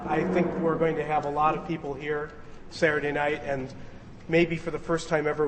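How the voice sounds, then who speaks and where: A man casually giving announcements and joking as he leads a rehearsal, recorded in a noisy, echoey room. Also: on the high side. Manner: informal, joking. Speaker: director leading a radio play rehearsal.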